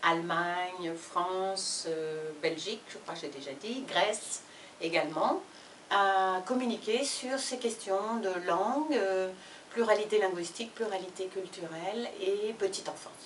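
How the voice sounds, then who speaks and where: A woman, a university professor and sociolinguist, presenting a book in a fluent, measured way. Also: mid-range voice, a little hiss or hum. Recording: echoey room from far off.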